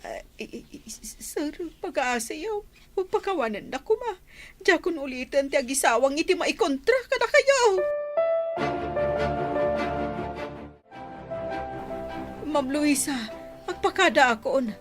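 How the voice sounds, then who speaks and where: Whiny, frightened servant, swooping pitch. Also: female voice, big pitch swings, normal speed, soft background music. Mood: terrified, begging. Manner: stammering, whining. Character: frightened, grovelling female household servant. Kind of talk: melodramatic radio drama acting.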